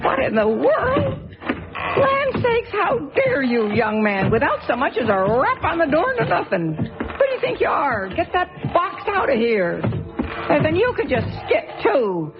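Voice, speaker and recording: A woman's voice: sits high, wildly swooping pitch, unhurried, exaggerated voice. An actress playing a stubborn, fussy old lady who scolds in folksy old-fashioned talk, in a radio drama. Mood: indignant. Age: older. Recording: dull lo-fi radio recording with faint music.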